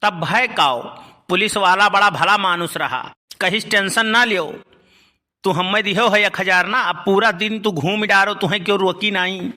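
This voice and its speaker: A man's voice: high voice, normal speed, sharp tone. A man playing a folksy, rambling village uncle in a cartoon, with an Awadhi accent. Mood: folksy storytelling. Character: folksy village uncle telling tall anecdotes. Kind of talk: cartoon storytelling in rustic dialect.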